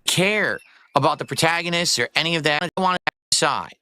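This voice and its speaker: A man's voice: fairly high pitch, a little fast, sharp tone, clear, resonant tone. A man, a game streamer, talking to his viewers in a wordy, over-explaining monologue.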